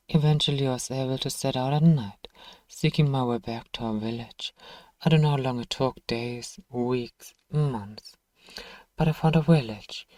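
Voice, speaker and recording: A man playing a soft, distant, ancient vampire. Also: medium pitch, no echo, close to an everyday voice. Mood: haunted, wistful. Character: thousand-year-old male vampire, nostalgic, tender, sorrowful. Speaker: ASMR role-play performer. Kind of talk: scripted ASMR storytelling role-play.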